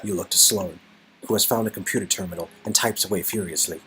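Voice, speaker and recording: A man's voice: deep voice, fast talker, pushed and bright, poor audio. A man narrating an interactive game story in a dramatic storytelling style, with music behind him. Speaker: narrator and co-performer.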